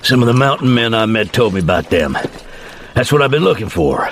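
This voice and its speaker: An actor playing a middle-aged frontier father with an American Western drawl, laconic, folksy and measured, in a dramatized western audiobook. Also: mid-range voice, sing-song, fairly bright tone, slight background noise. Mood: matter-of-fact. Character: steady, plainspoken frontier father on horseback.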